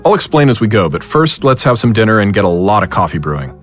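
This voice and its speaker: A man playing a calm, learned occult investigator in an audio drama, explaining things in measured, wordy sentences. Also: on the low side, faint music behind. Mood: calm, explanatory.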